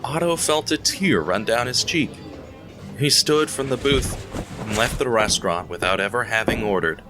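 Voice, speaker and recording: Male narrator with a low voice, music behind. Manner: tense, building narration. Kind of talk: scripted dramatic narration. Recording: music underneath.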